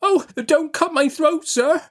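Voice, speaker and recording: A man's voice: extremely high pitch, slow, fairly bright tone, voice pushed up, full character voice. A man voicing a small, timid, frightened boy who pleads politely in a storybook reading. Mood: terrified pleading.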